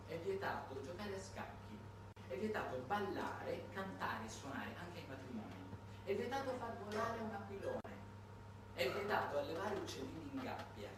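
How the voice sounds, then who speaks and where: A man narrating a solo stage monologue in a theatrical, measured way, with dramatic pauses. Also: a little high. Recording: echoey noisy hall, distant microphone.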